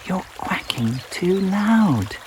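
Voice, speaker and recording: A man's voice: high voice, very animated, extremely slow, slight background noise, voice pushed up, exaggerated voice. A man voicing a fussy garden mother who shushes and scolds, in a children's storybook reading. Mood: scolding, shushing. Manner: loud shushing and hushed scolding. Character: Daisy, fussy female garden mother shushing noisy creatures.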